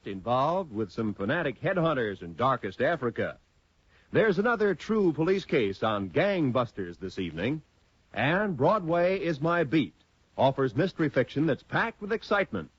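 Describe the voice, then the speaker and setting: A male announcer promoting the evening lineup in brisk, upbeat old-time radio fashion. His voice is lively and a bit husky, with a dry, muffled vintage broadcast sound. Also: fairly high pitch, no echo. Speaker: network announcer.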